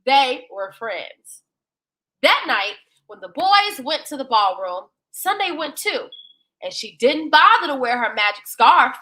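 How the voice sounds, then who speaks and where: Female storyteller for kids' bedtime tales. High, bright voice, expressive and folksy, big swings in pitch. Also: a little slow, poor audio. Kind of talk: animated children's bedtime story reading.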